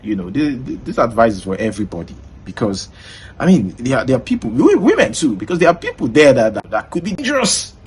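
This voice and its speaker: A man's voice: medium pitch, very animated, quick pace, a little mellow, a little hiss or hum. A Nigerian man hosting a casual commentary vlog, chatting to viewers and laughing often. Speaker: vlog host.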